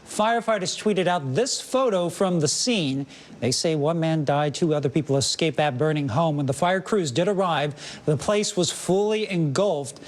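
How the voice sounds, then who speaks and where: A man anchors the morning news with a brisk, authoritative read. His voice is notably high for a man. Delivery is polished and scripted.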